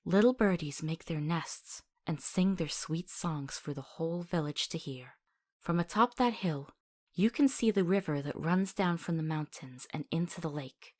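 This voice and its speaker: A woman's voice: low-pitched, moderate pace, a little mellow. A woman playing a compassionate young doctor in a visual novel, talking tenderly and coaxingly as if telling a soothing story.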